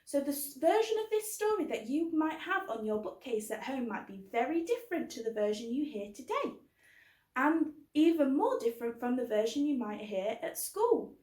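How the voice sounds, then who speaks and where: A woman's voice: high voice, sing-song, normal speed, a little mellow. A woman hosting a children's book club, explaining things simply and putting questions to young listeners. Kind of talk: scripted-sounding introduction for young children. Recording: roomy, echoing indoor sound.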